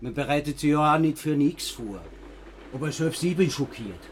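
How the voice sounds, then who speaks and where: An actor playing a grim, self-assured survivalist villager in a radio play, blunt and colloquial, with an Austrian accent. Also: male voice, fairly high pitch, normal speed, a little hiss or hum, lightly acted. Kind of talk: naturalistic radio play dialogue.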